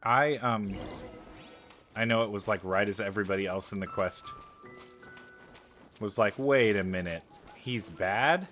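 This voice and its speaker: A man's voice: lowish voice, measured pace, long pauses, a bit bright, faint music behind. A man giving casual, rambling let's play commentary in an informal way.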